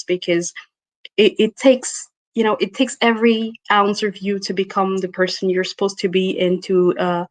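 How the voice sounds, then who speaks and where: A woman's voice: mid-range voice, no room sound, low-quality recording. Woman speaking in a dry close voice. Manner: thoughtful, laughs easily, with restarts. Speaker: guest. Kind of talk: interview answers.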